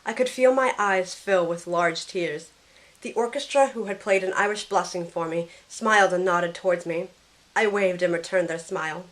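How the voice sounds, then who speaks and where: A woman's voice: lowish voice, normal speed, fairly bright tone, close to an everyday voice, poor audio. A woman playing a grieving, guilt-ridden young woman who tells her story in an earnest, emotional first-person audio drama. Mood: sorrowful, reflective.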